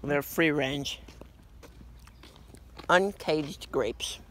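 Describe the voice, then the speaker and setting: A teenage boy vlogging on a walk down a quiet street, joking and rambling into a phone held at arm's length. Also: on the high side, extremely slow, slight background noise. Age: teens. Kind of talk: goofy walking vlog.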